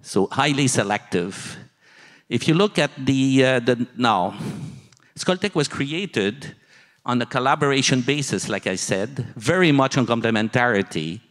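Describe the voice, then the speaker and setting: A man in his sixties giving a keynote as a university dean, halting and pausing often mid-sentence, on a handheld microphone in an auditorium. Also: medium pitch, lively intonation, a bit bright. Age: older, 60s. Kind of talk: conference presentation, speaking from slides. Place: large auditorium stage. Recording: long hall reverb from PA.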